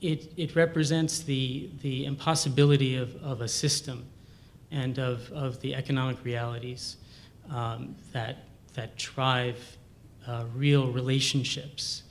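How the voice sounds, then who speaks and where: A man's voice: level tone, unhurried. A man introducing a performance in unscripted talk, hesitant and searching for his words as he goes. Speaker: composer. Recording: noisy room with low rumble.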